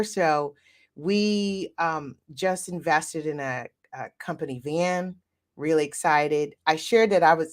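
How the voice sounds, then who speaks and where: A woman hosting a livestreamed real estate show, congested and nasal from a cold, upbeat and repeating phrases as she talks straight to her audience on a desk mic. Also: lowish voice. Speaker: real estate podcast host. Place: home office with a computer. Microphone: desk microphone.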